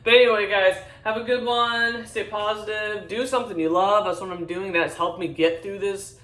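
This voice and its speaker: A deep-voiced woman in her forties chatting casually to her viewers, rambling, blunt and candid. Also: steady tone, normal speed, a bit bright, distant mic. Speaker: vlogger. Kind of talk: casual chat to camera. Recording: echoey room, voice a bit off-mic.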